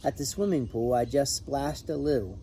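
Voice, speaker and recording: A man reading a children's picture book aloud in an expressive, animated, emphatic way. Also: mid-range voice, normal speed, slight background noise. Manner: animated, emphatic on repeated refrain. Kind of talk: expressive storybook read-aloud with brief asides.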